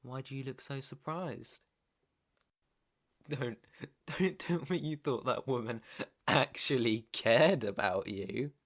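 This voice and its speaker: A British man playing a menacing, teasing mob boss's son in an intimate ASMR roleplay, with a deliberate, taunting delivery. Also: mid-range voice, a bit bright. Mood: angry, harsh. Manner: slow, deliberate, taunting, swearing. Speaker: roleplay audio performer.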